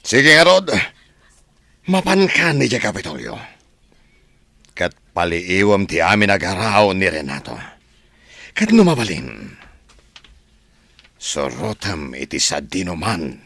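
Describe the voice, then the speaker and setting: An actor playing a hot-tempered, domineering crime boss in an Ilocano-accented radio drama. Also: male voice, low-pitched, big pitch swings, slow, vocal fry, long pauses, sharp tone, no echo, heavily acted. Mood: menacing, commanding. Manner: threatening, domineering. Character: menacing, hot-tempered male crime boss plotting murder.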